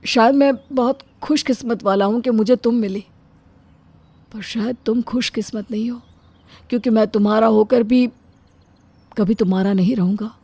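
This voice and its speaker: A woman's voice: on the high side, normal speed, long pauses, mellow, dry recording. Woman voicing a earnest young man, close and dry. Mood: regretful. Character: reluctant, earnest young man, dutiful son. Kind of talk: Hindi animated story acting.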